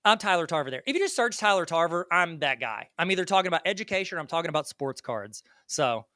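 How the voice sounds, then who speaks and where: A man's voice: on the high side, big pitch swings, fast, pushed and bright, dry recording, clean studio sound. A man with a Southern US accent, a guest on an interview, talking in a rambling, joking, self-deprecating way. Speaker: guest educator. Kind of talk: casual interview chat.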